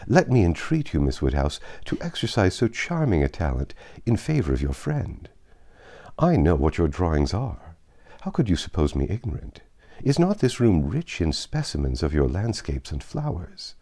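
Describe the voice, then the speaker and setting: An effusive young gentleman suitor from an old novel, fawning and full of compliments, as a male reader puts him on. His voice swings widely in pitch, lifting high with eagerness. The tone stays mellow and soft-edged. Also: big pitch swings, normal speed, heavily acted. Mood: gushing. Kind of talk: audiobook reading of a classic novel.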